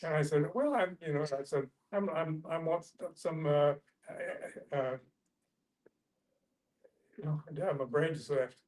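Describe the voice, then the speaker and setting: Higher-pitched man, halting casual storyteller. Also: a little mellow. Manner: halting, rambling, folksy storytelling. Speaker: discussion participant. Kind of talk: casual personal anecdote.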